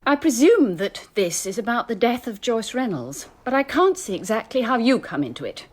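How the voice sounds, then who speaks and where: An actress playing a prim, guarded schoolmistress with brisk, precise speech in a radio mystery drama. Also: female voice, on the high side, big pitch swings.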